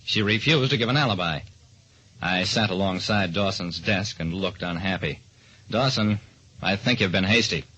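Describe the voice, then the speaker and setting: A man's voice: sits low, moderate pace, bright, forceful tone, slightly rough, exaggerated voice. An actor, a man, playing a hard-boiled private detective who narrates his case in a clipped, dramatic old-radio style. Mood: brisk, sardonic. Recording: dull old-time radio recording.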